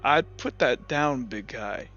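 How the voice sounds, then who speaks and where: A man voicing an unflappable stranger in a tabletop game who makes slow, measured, casual threats. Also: mid-range voice, lively intonation, pushed and bright, voice pushed down. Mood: calm menace. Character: unflappable menacing man with a cane, quietly threatening. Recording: roomy, slightly muffled, music behind.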